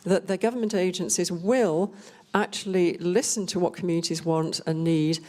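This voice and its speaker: Older female panel speaker from Scotland, slightly low voice, measured and explanatory delivery. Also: fairly even intonation, darkish tone. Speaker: panel speaker on rural communities. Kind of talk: unscripted panel presentation.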